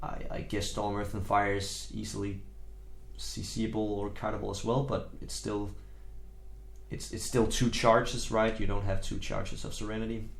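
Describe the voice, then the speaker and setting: Young Danish-accented male guide creator; lowish voice, laid-back, rambling delivery full of fillers. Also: creaky. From Denmark. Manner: relaxed, rambling, hedging with fillers. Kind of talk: casual game guide voice-over.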